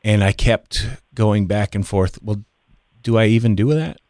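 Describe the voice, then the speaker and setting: Male podcast guest, fifties. Lower register, dry sound, thoughtful and halting personal storytelling. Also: fairly even intonation, a little mellow, no echo. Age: 50s.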